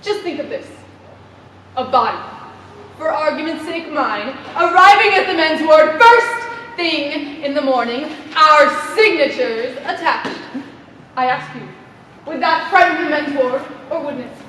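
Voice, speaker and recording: A woman acting in a live stage play as an imperious, scheming committee president, making pompous, deliberate speeches. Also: sits high, animated, bright, forceful tone, heavily acted. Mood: persuasive, menacing. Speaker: stage actor. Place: indoor theater stage, recorded from afar. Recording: echoey theater hall, distant mic, faint noise.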